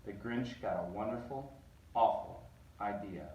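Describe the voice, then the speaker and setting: A man reading a picture book aloud, animated and rising on repeated words. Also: lowish voice, measured pace. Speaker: reader. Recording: roomy echo, distant mic.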